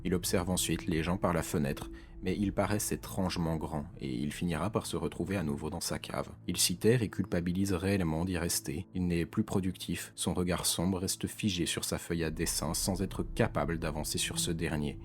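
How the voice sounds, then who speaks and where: A man giving scripted explanatory narration in French video-essay style, with a measured, serious storytelling manner. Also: low voice, steady tone. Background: background music.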